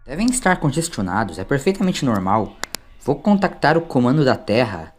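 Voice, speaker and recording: Man playing an officious, forceful ship chief. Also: medium pitch, big pitch swings, moderate pace, a little hiss or hum, close to an everyday voice. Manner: authoritative, formal, forceful announcements. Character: officious male ship chief, blustering, anxious to keep order. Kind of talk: audio story character acting.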